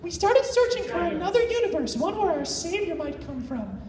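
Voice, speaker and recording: Lady doing tiny high mew creature, solemn storytelling, crowd behind. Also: sing-song, moderate pace, recorded from a distance. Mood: solemn explaining. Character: solemn female Mew, small creature explaining planetary doom. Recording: echoey convention room with crowd, off-mic.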